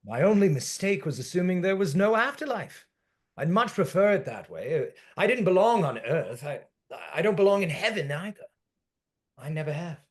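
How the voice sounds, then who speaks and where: A man's voice: sits high, sing-song, moderate pace. A man playing a world-weary forty-year-old from another century, formal and fatalistic, in a dramatic table read. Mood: bitter. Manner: formal old-fashioned phrasing, theatrical, fatalistic.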